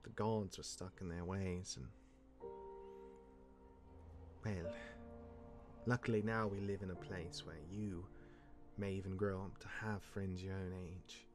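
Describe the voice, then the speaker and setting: A man performs a cursed demigod in an audio drama, mentoring a younger sibling. The voice is low and measured, with wry storytelling and weighty pauses. Faint music plays underneath. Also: fairly even intonation. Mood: wistful, reassuring. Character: adult male demigod, cursed, wry mentoring older brother. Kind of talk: dramatic audio roleplay dialogue.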